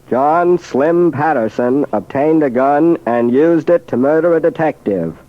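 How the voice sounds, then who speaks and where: Casting: male radio narrator, medium pitch, formal scripted delivery, dull old recording with a dry sound. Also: a little mellow, dry recording. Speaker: narrator of a radio crime drama.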